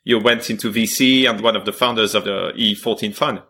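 A man hosting a podcast interview, speaking conversationally with frequent pauses. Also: on the low side, fairly even intonation, bright, forceful tone. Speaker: podcast host.

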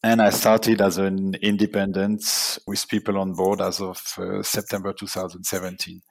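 A man in his fifties from Switzerland, a guest on a podcast interview, recounting his career in a measured way with fillers and restarts. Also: low-pitched, completely flat delivery, gravelly, low-quality recording. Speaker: guest, people management consultant.

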